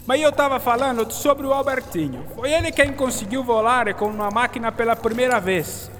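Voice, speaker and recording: A woman's voice: a little high, moderate pace, a bit bright, slight background noise, natural voice. A woman playing a patient, precise and didactic explainer of science in a radio drama. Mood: patient, explaining. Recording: reverberant sound with music behind.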